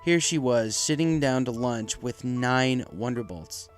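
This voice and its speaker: A man's voice: medium pitch, measured pace. A man reading scripted fan fiction as its narrator, with music behind his voice.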